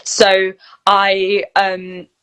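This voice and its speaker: A woman's voice: mid-range voice, completely flat delivery, drawn-out words, fairly bright tone, smooth. A British woman talking casually as an interview guest, explaining her work in a chatty way and often acting out bits of dialogue. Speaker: guest, voice reel studio founder and actor.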